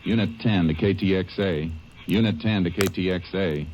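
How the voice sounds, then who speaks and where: An actor playing a steady, methodical Texas Ranger detective in an old radio drama, speaking calm and low. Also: male voice, moderate pace, noisy background, natural voice. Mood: level-headed. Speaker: lead actor. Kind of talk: 1950s radio drama acting. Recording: muffled old radio recording.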